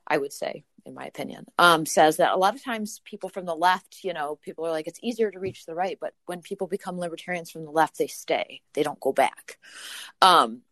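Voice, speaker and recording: Podcast host, woman, Midwestern accent, lowish voice, chatty and laughing, dry close mic. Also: fairly quick, a bit bright, no room sound. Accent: Midwestern American. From Ohio, USA. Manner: chatty, laughs often, many fillers. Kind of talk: casual conversational interview.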